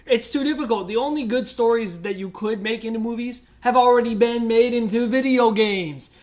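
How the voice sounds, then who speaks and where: Opinionated man, medium-pitched podcast voice. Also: normal speed. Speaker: podcast co-host. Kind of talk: casual podcast debate.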